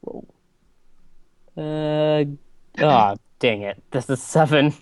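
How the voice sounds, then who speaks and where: A man with a slightly high, clean and smooth voice plays a tabletop game. He talks casually and thinks out loud, in a very dry recording. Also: drawn-out words, no echo.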